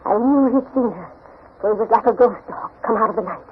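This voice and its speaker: A woman's voice: on the high side, a little fast, slightly rough. An actress playing an anxious, brooding old woman who narrates a ghostly tale in solemn 1940s radio drama style. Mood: fearful, urgent. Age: older. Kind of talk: 1940s radio drama acting, haunted first-person narration. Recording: dull, muffled 1940s radio recording.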